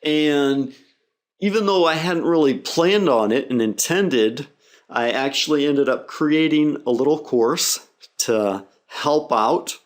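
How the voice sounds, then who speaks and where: A middle-aged man who is a native English speaker, explaining his language-learning method to students in a steady, measured way. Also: on the high side. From English-speaking country. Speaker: English teacher.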